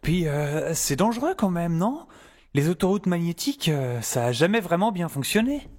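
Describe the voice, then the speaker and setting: Man playing an excitable, hesitant young professor, lively pitch. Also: moderate pace. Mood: hesitant, nervous. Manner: colloquial, hesitant, wry. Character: hesitant, excitable young male professor, wry narrator-hero. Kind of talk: audio drama dialogue and first-person narration.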